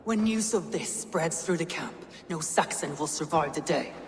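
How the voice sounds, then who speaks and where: A confident Viking warrior woman in a game drama. She sounds assured and firm, with a lowish voice over faint ambience. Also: animated, moderate pace, a little hiss or hum. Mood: grim. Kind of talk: dramatic video game acting.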